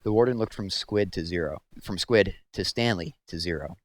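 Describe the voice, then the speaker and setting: Low-voiced man reading a story. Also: moderate pace, dry recording. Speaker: reader of a children's novel aloud. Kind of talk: storybook read-aloud narration.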